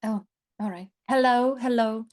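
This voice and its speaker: A woman from the Czech Republic with a Czech accent, a coach chatting in an interview, halting and repeating words as she searches for phrasing. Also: on the high side, steady tone, darkish tone. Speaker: transformative coach and mentor. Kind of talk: casual interview chat.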